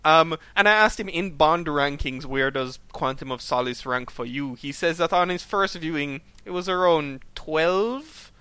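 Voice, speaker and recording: A man's voice: a little high, unhurried, hardly any pauses, sharp tone, smooth, no room sound. A man hosting a movie podcast, enthusiastic and ready to argue, reading out listener responses. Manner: enthusiastic, argumentative. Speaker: podcast host. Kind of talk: casual chat and listener questions.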